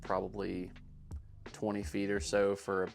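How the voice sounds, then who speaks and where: A man giving a casual, self-deprecating voice-over commentary on his own amateur sports highlights in a matter-of-fact way. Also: completely flat delivery, a little slow, creaky, soft background music. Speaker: amateur disc golfer narrating own highlights.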